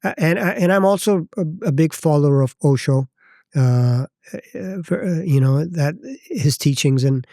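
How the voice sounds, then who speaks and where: A Pakistani-accented man in his thirties speaks as a podcast guest, his voice mellow and close in a dead, dry space. He talks in a reflective, halting way, often stopping to rephrase. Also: fairly high pitch, no echo. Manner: thoughtful, halting, circles back to rephrase. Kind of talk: reflective personal storytelling.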